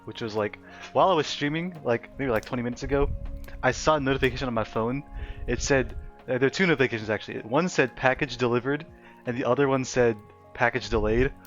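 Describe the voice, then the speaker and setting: A young man chats loosely with his stream, laughing often and trailing through fillers as he reads messages aloud. His voice sits at an ordinary middle pitch. The audio is a little dull and lo-fi, with faint music underneath. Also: fast. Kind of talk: casual livestream chat reading chat aloud. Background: faint background music.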